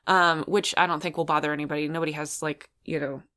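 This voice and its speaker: A woman's voice: low-pitched, steady tone, quick pace, pushed and bright. A woman hosting a solo podcast, talking casually in a rambling, chatty way about what she watches. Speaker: podcast host.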